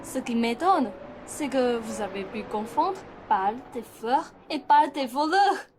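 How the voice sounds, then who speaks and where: A woman's voice: on the high side, expressive, slow pace, soft background music. A young woman playing a scheming, gushing old mother in a French radio play, theatrical and effusive. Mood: irritated. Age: young adult.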